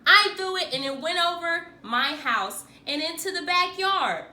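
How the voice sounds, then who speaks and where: A woman reading a children's picture book aloud in an expressive, theatrical way, like a teacher acting it out for young pupils. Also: extremely high pitch, a little slow. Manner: theatrical, acts out the dialogue.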